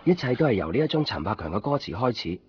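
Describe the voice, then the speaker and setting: A man's voice: fairly low pitch, very animated, fast talker, dull audio, background noise. An actor playing a curious, obsessive young man telling his own story in a radio drama. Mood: reflective, quiet. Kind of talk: Cantonese radio drama, introspective narration and dialogue. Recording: 1990s radio drama, band-limited, music behind.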